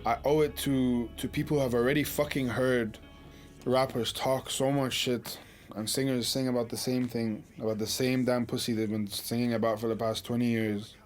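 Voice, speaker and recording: A young rapper from Dubai talks with a mixed Dubai English accent. His voice sits at a middle pitch. He speaks candidly and swears in passing. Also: little pitch movement, normal speed, faint music behind. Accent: Dubai English mix. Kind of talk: documentary interview.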